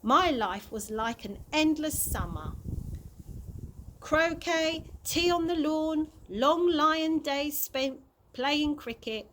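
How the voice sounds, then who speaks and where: A woman voicing a gentle, wistful old gentleman tramp in a storybook, speaking fondly and with genteel manners. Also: high-pitched, expressive, takes it slowly, slight background noise, voice pushed up, heavily acted. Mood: wistful, nostalgic.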